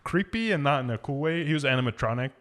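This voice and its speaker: A man's voice: medium pitch, animated, fast talker, a bit bright. A man chatting casually and giving opinions as a podcast co-host, informal and prone to swearing. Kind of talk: casual movie review chat.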